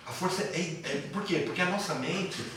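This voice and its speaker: A Brazilian man gives a classroom lecture in a slightly high voice. He explains didactically, posing questions and answering them himself. The recording is very reverberant and picked up from a distance, with faint noise. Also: moderate pace, a bit bright. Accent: Brazilian Portuguese. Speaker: psychoanalysis teacher. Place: classroom with students. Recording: very echoey room, distant mic, faint noise.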